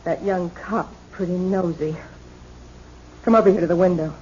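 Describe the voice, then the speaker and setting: A woman's voice: on the low side, moderate pace, natural voice. Actress as controlling, scheming American woman, muffled radio sound. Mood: tense, scheming. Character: coolly scheming older sister, brisk, controlling, ruthless. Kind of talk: 1970s American radio drama acting. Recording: muffled, noisy old radio broadcast.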